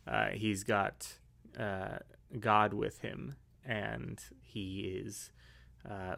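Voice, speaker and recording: An American man leading a casual church class discussion, relaxed and thinking aloud with fillers. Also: on the low side, vocal fry.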